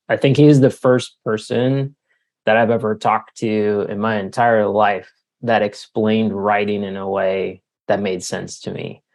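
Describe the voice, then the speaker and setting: A man in his forties giving casual, halting, self-deprecating answers as an author being interviewed. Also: fairly low pitch. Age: 40s. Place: remote call.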